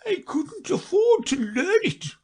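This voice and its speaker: A man voicing the Mock Turtle, a sobbing, self-pitying storybook creature who wails his words slowly. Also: big pitch swings, vocal fry, exaggerated voice. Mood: mournful. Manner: slow tearful wail, high and quavering.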